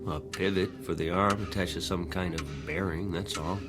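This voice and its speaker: Deep-voiced, jaded skeptic played by a man. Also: steady tone, moderate pace, over music, close to an everyday voice. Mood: skeptical. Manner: wry, dismissive, colloquial. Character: jaded, skeptical working man, a weary commercial artist. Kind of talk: radio drama acting.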